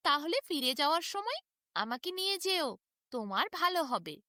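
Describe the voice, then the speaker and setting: A woman's voice: sits high, big pitch swings, normal speed, bright, forceful tone, no room sound, studio quality, full character voice. A woman voicing a helpful talking catfish in a storybook tale, kindly and coaxing. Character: helpful talking catfish promising good fortune.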